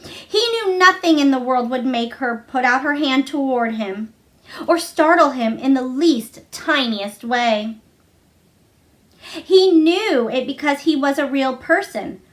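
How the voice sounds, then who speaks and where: Woman reading a kids book out loud, pretty high voice, story narration. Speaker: storybook reader. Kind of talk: read-aloud children's story narration.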